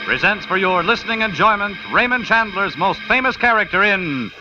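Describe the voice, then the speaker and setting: A man's voice: high voice, lively intonation, normal speed, over music. A male announcer with an American accent reading a 1950s radio commercial in a polished, emphatic, salesman-like way. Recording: muffled vintage 1950 radio broadcast.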